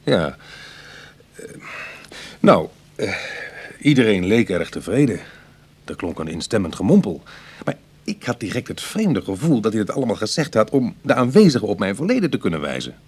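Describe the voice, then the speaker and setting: An actor playing a wry, sceptical ex-burglar in a radio drama, husky and brooding, with hesitant conversational delivery. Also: male voice, on the low side, very animated, moderate pace. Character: wry, sceptical male ex-burglar on a prison committee. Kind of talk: Dutch radio drama acting.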